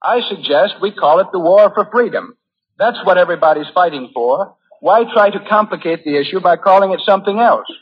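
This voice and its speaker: A man's voice: high voice, lively intonation, a little fast, husky. An American man delivering an earnest, formal prepared speech as a war correspondent in a 1940s radio address. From United States. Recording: muffled, lo-fi 1940s radio recording.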